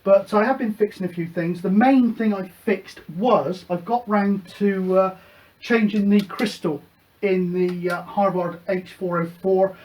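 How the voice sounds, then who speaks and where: A man's voice: high voice, unhurried, rough recording. A middle-aged man hosting his own radio hobby show, chatting casually and rambling with plenty of fillers. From United Kingdom. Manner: relaxed, rambling, many fillers. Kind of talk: casual show-and-tell chat.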